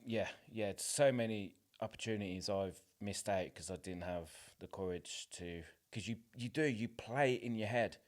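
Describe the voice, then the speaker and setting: A man's voice: lowish voice, normal speed, few pauses. A man speaking candidly and encouragingly as a guest in a casual interview. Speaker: podcast guest, actor. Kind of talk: casual personal interview chat.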